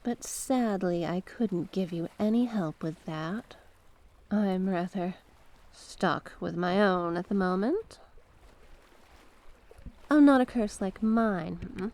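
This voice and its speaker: A woman's voice: mid-range voice, slow pace, pauses often, a little mellow. A woman playing a mysterious sea witch in an ASMR roleplay, coaxing and sly, speaking with genteel courtesy and terms of endearment. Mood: gently probing.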